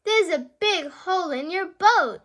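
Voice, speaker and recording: A woman voicing a curious, excitable little girl pig in a children's storybook, earnest and exclaiming. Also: extremely high pitch, a little slow, pitched above the performer's own voice, exaggerated voice. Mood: alarmed.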